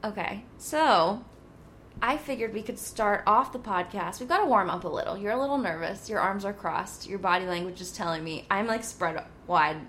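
A woman's voice: medium pitch, normal speed, fairly bright tone, slight background noise. Bubbly young woman hosting a podcast. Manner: bubbly, giggly, teasing banter. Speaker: podcast host. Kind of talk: casual podcast chat. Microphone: close podcast mic, loud.

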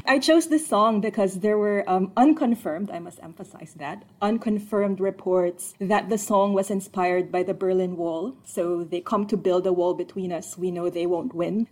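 A woman from the Philippines, talking as a guest in a podcast interview in a chatty, lively way. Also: lowish voice. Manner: lively, chatty, speeds up and laughs between points. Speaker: guest, political scientist.

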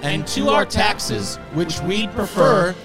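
High-voiced man reciting slowly over loud music. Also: animated. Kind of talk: mock-solemn pledge recitation.

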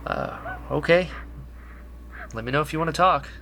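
A man playing a caring, curious young male rebel in a sci-fi radio drama, speaking gently and thoughtfully. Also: on the high side, moderate pace, vocal fry, a little hiss or hum. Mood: caring, firm.

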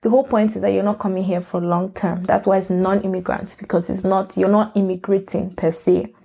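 A woman's voice: mid-range voice, little pitch movement, brisk, vocal fry, dark tone, breathy edge. A woman, hosting a solo podcast in a rambling, candid way that often corrects itself. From Nigeria. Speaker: podcast host. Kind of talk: casual solo podcast monologue.

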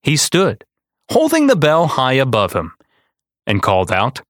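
A man reading a children's picture book aloud in a deliberate, dramatic storytelling style. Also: medium pitch, wildly swooping pitch, fairly bright tone, no echo, clean studio sound. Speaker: storyteller and podcast host.

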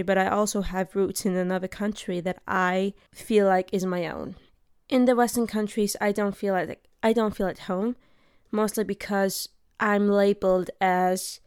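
A woman's voice: medium pitch, a little mellow, smooth, no echo, studio quality. A young woman from Denmark hosting her own episode, talking in an earnest, heartfelt way and searching for her words. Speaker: podcast host. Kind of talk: personal monologue.

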